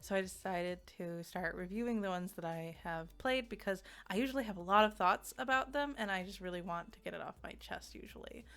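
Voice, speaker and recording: A woman's voice: medium pitch, brisk, few pauses, a bit bright. A woman giving a casual, chatty game review, rambling and interrupting herself, with a giggly manner. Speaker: video game reviewer and streamer. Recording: music under the voice. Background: background music.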